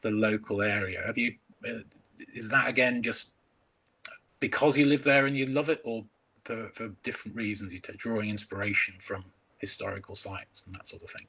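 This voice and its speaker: A man's voice: on the low side, moderate pace, sharp tone, husky, rough recording. A man chatting as a crime author over a video call, hesitant with many ums and restarts. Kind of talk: casual interview chat.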